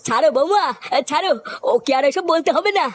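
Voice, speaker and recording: Cartoon old village father-in-law, acted, high pitch, achy, complaining, groaning delivery. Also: animated, a little fast. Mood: self-pitying. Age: older. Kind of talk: comedy cartoon voice acting.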